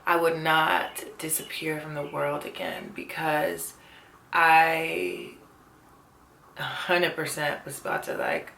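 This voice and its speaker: A woman's voice: sits low, gravelly, fairly bright tone, roomy sound, a little hiss or hum. A woman in her twenties talking candidly to her camera, earnest and reflective, often saying "like" and "you know.". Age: 20s. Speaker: vlogger. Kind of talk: candid personal talk to camera. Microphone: camera mic.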